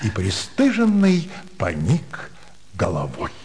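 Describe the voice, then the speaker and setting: A man narrating a literary radio drama with an expressive storytelling delivery. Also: lowish voice, takes it slowly, slight background noise.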